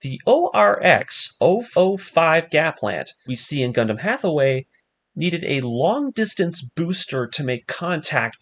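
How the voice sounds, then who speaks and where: A man hosting a video essay, narrating a scripted fan theory with emphatic, deliberate stresses on key words. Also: a little high, fairly bright tone. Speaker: host of an anime breakdown video series. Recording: music behind the voice. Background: music.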